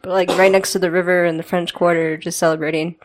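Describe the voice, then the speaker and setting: A woman's voice: fairly low pitch, level tone, fast, background noise. A woman on a casual chat show, chatty and laughing easily as she tells a story. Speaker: podcast co-host.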